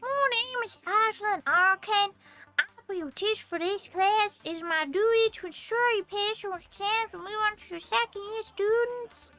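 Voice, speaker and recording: A woman voicing a formal female magic teacher in a mock Scottish accent, lecturing slowly. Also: very high voice, sharp tone, soft background music, heavily acted. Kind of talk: reading a visual novel aloud.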